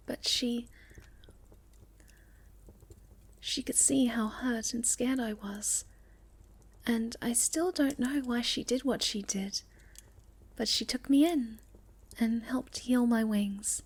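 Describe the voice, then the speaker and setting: A woman playing a sweet, shy young moth girl in an ASMR roleplay, speaking gently and hesitantly, often dropping to a breathy near-whisper. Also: a little high, slow pace, full character voice. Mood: hesitant storytelling. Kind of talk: ASMR roleplay, soft intimate storytelling to the listener.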